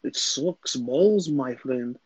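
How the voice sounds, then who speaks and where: A man's voice: medium pitch, animated, slow pace, breathy edge, dry recording. A man co-hosting a podcast in casual, profane banter, using a lot of bro-style slang. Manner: slangy, says "bro" often. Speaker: podcast co-host.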